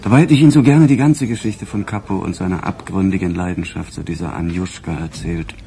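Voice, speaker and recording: Sly, deep-voiced police detective. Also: male voice, a little fast, gravelly, mellow. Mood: sardonic, taunting. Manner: insinuating, mock-courteous questioning. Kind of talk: German crime radio play acting.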